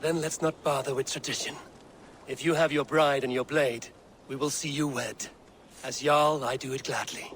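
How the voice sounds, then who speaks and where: A man playing a steady, honorable Viking clan leader in a video game, speaking in a measured, formal way. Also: medium pitch, slight background noise, close to an everyday voice. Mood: warm, honored. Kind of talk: dramatic game dialogue acting.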